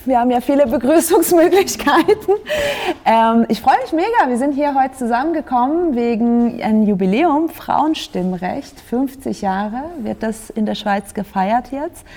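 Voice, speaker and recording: A woman hosting a panel talk with a somewhat high, mellow voice. She sounds bubbly and upbeat, with lots of small laughs as she hands the floor to her guests. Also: lively intonation, moderate pace. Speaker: host. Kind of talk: casual panel moderation.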